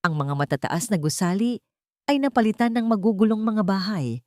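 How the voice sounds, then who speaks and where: A woman narrating a scripted dramatic story in a suspenseful way, pausing between short phrases. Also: mid-range voice, animated, darkish tone, no room sound, studio quality.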